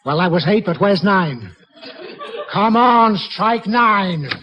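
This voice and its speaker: Blustering high-pitched grouchy boss. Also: male voice, lively intonation, slow, a crowd in the background. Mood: impatient. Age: middle-aged. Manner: gruff, exasperated, declamatory. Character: blustering, grouchy older male office boss. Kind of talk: 1940s radio situation comedy acting. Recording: dull old radio recording, loud audience.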